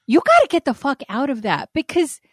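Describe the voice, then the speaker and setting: A woman's voice: high voice, huge pitch swings, brisk, no room sound, clean studio sound. A woman co-hosting a podcast, reading a listener's email aloud and giving blunt, frank advice with frequent laughter and swearing. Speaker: podcast co-host.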